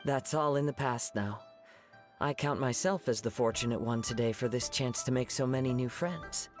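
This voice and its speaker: A man voicing a gentle, poetic young wandering samurai in an anime game, calm, soft-spoken and courteous. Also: medium pitch, moderate pace, lightly acted. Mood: serene. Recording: game music behind.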